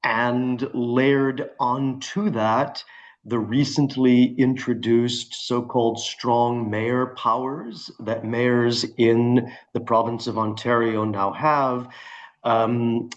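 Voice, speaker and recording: A man with a slightly froggy voice from a cold, speaking in measured terms on a webinar panel. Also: medium pitch, fairly even intonation, lo-fi sound. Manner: measured, with frequent ums. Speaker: orchestra representative.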